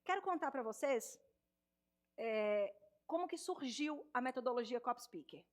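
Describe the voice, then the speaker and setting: A woman in her thirties with a Minas Gerais accent, a speech therapist giving an animated stage talk straight to the audience in an auditorium. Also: high-pitched, measured pace. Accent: Minas Gerais Portuguese. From Minas Gerais, Brazil. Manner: warm, animated, addresses audience directly.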